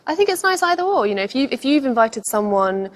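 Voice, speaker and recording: A woman's voice: high voice, big pitch swings, quick pace. A woman giving casual dating commentary as a co-presenter, explaining things conversationally. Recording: faint background noise.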